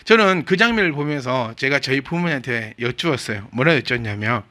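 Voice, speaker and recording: A man's voice: mid-range voice, animated, brisk, sharp tone. A male pastor with a Gyeongsang Korean accent gives a chatty, humorous sermon and keeps asking his congregation questions. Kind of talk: conversational sermon.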